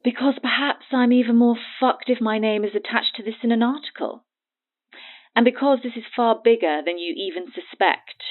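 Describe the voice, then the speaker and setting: A woman's voice: fairly high pitch, normal speed. An actress playing a cagey, mocking woman who needles her listener in a naturalistic audio drama, heard over a phone filter. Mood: defensive, mocking. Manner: haughty, needling, sardonic. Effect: phone line filter. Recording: tinny narrow phone line.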